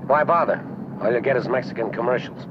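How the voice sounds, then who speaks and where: A man's voice: medium pitch, a little fast, noisy background, close to an everyday voice. An actor playing a tense, defiant man held hostage, giving terse replies in 1950s film noir style. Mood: guarded. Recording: muffled old 1950s film soundtrack.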